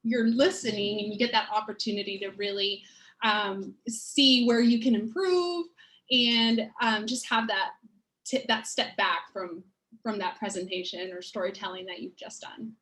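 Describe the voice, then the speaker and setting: A woman panelist on a video call, explaining training programs in a measured, conversational way. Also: medium pitch, fairly bright tone, poor audio. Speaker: panelist, learning program lead. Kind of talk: panel discussion.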